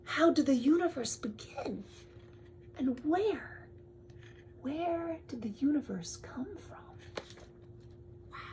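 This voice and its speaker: A woman reads a picture book aloud to a young child in drawn-out phrases, often dropping to a hushed tone and adding chatty asides. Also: sits high, expressive, slight background noise. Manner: addresses the child with questions and pointers. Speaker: storyteller reading to a young child.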